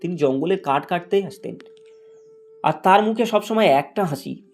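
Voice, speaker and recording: A man's voice: sits high, lively intonation, moderate pace, a little mellow, soft background music. A man narrating a scripted moral fairy tale as a storyteller.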